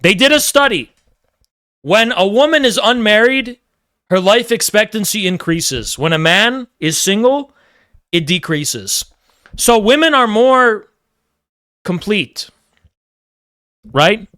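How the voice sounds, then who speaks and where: A man's voice: high voice, expressive, slow pace, long pauses, bright, forceful tone, dry recording, clean studio sound. A man live-streaming, chatting casually and teasing his chat while rambling through strong opinions.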